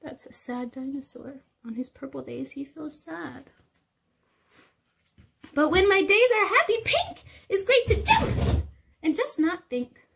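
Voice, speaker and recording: A young woman reading a picture book aloud to small children, expressive and sing-song, explaining things simply as a teacher would. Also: high-pitched, a little slow, vocal fry. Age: young adult. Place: small indoor room.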